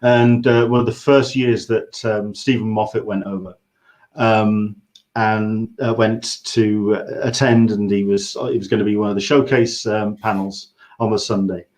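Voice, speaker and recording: A man's voice: fairly low pitch, level tone, unhurried, darkish tone. A man with an English accent hosting a casual interview, telling anecdotes in a rambling, conversational way. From England. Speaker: host interviewer. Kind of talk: casual livestream interview chat.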